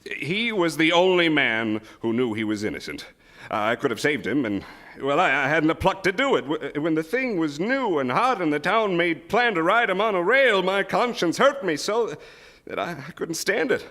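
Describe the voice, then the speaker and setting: An actor playing an anxious, guilt-ridden small-town husband in a live radio drama, hesitant and stammering with sudden outbursts. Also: male voice, on the high side, expressive, normal speed, a bit bright. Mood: guilty, fearful. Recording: live stage recording before audience.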